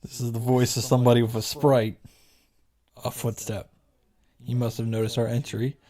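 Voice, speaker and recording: A man's voice: mid-range voice, little pitch movement, moderate pace, mellow. A man reading visual novel narration aloud and reacting casually with blunt asides. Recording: clear music behind the voice.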